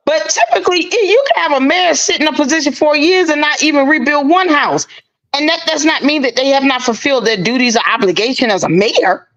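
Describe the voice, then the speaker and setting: Opinionated female guest, high voice, casual commentary. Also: fairly quick, a bit bright, poor audio. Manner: opinionated, talks at length. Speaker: livestream guest.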